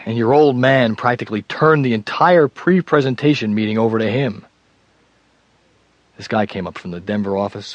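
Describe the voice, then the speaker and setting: An actor playing a troubled, self-deprecating husband in a radio drama, halting and stammering with a wry, evasive manner. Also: male voice, on the low side, dry recording, muffled recording. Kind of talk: naturalistic radio drama acting.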